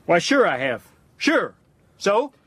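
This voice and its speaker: An actor playing a brash, hot-blooded American adventurer in an audio drama, with an American accent and a husky voice. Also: male voice, sits high, big pitch swings, slow pace, fairly bright tone, full character voice. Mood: blunt, incredulous.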